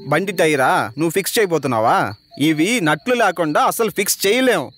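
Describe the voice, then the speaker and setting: Guy doing a nervy chatty driver, fast and high, cartoon dub with music. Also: lively intonation, fairly bright tone. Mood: fussy. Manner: fussy, easily panicked, respectful "sir". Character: jumpy talkative male driver. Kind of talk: dubbed cartoon acting. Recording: music bed under dialogue.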